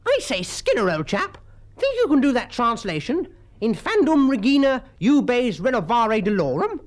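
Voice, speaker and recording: A plump, dim British schoolboy out of a storybook. The voice is squeaky and leaps up and down. He wheedles and blusters like a boastful coward. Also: big pitch swings, normal speed. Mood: wheedling, flattering. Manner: wheedling, blustering, stammering when cornered. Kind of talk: character voice in a storybook reading.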